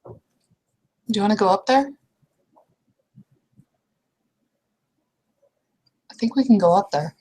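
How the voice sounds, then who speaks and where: A woman playing a quiet, distracted, naively curious woman in improvised roleplay, speaking hesitantly and questioningly. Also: medium pitch, lively intonation, normal speed, slightly rough. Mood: casual, curious. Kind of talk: improvised in-character roleplay dialogue.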